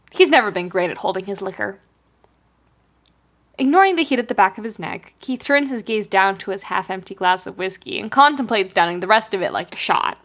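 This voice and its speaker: A woman reading fiction aloud as a podfic narrator, in an expressive scripted storytelling style. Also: medium pitch.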